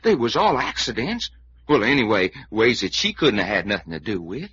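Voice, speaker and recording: A lively, folksy Southern sidekick. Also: male voice, fairly low pitch, normal speed, husky, exaggerated voice. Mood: superstitious, worried. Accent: Southern American. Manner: drawling, folksy. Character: folksy, superstitious, excitable male cowboy-like sidekick. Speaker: radio actor. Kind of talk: old-time American radio drama acting. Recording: muffled, noisy old radio recording.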